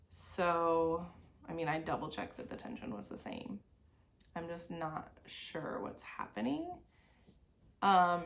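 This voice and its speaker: A woman's voice: on the low side, a little hiss or hum. A woman in her thirties, sniffly from a cold, hosting a crafting video podcast from her sewing desk at home. She chats casually and meanders, with lots of "like" fillers. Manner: meandering, talks around things with many "like" fillers. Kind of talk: casual chat.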